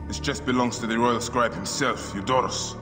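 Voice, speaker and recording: A man's voice: mid-range voice, fairly even intonation, normal speed, natural voice. A man playing a solemn, vengeful warrior and grieving father in a cinematic video game. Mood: brooding, intent. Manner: solemn, brooding, bursting into fierce outrage. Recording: reverberant hall, music underneath. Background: music.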